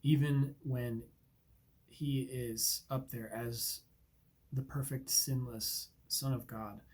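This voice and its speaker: A man's voice: mid-range voice, fairly even intonation, takes it slowly, a little mellow. A man hosting a daily Bible reading, earnest and reflective, sniffling from allergies. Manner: earnest, reflective, with many ums and uhs. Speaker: Bible reading podcast host. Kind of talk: devotional commentary and scripture reading.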